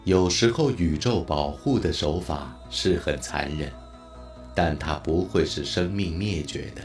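Ancient benevolent non-human entity for audio drama, male actor: extremely low, sonorous, grave and measured, faint music bed. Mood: solemn, reassuring. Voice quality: booming, sonorous.

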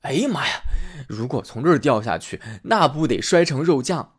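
A man hosting and reading a fairy tale aloud in an expressive storytelling manner. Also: medium pitch, moderate pace, husky. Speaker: storyteller host.

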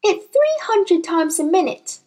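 A small, earnest girl from a children's storybook. The voice is very high and swoops with urgent emphasis. The delivery is breathless, bright-minded and pleading. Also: sing-song, a little slow, exaggerated voice. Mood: eager, curious. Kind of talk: children's audiobook character voice.

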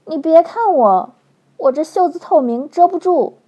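A woman's voice: extremely high pitch, animated, a little slow, dark tone, dull audio, voice pushed up. A woman voicing a clingy, heavily made-up young widow who talks in a coaxing, baby-talk way. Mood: whiny.

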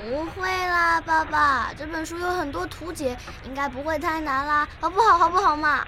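A woman acting a bright, eager, bookish nine-year-old girl in a radio drama. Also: high-pitched, normal speed, slight background noise. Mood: pleading.

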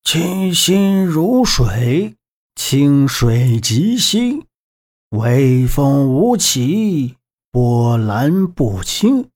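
A man voicing a calm old grandfather in an audiobook, reciting measured, meditative verse in a chanting delivery. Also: medium pitch, heavily acted. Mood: serene, solemn. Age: older. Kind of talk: audiobook character voice.

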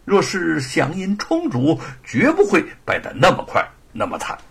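Guy doing a loyal blunt old militia man, super animated, gravelly, historical tale, impassioned. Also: on the high side, normal speed, full character voice. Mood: resolute. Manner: impassioned, emphatic. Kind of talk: dramatised Chinese historical storytelling.

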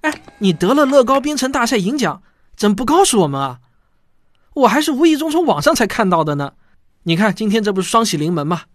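A man's voice: sits high, very animated, quick pace, no room sound. A man acting a doting, encouraging father with a coaxing manner in an audio drama. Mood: proud, cheerful. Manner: coaxing, reassuring.

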